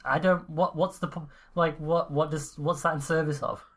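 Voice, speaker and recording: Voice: mid-range voice, steady tone, moderate pace. A podcast co-host in casual chat who swears freely, laughs mid-sentence and often trails off and restarts.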